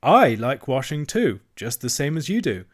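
A man's voice: medium pitch, big pitch swings, moderate pace, pitched above the performer's own voice. A man voicing a hearty, shrewd middle-aged barge woman who speaks politely with a sly edge.

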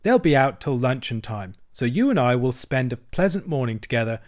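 A man voicing a good-natured male water rat in a storybook, plain-spoken, brisk and hearty. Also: medium pitch, lively intonation, darkish tone, close to an everyday voice. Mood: cheerful. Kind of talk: storybook character acting.

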